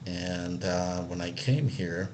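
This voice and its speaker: A man's voice: low-pitched, takes it slowly, background noise. A man from Israel telling his life story casually, rambling a little with many fillers and restarts. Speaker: accessibility analyst.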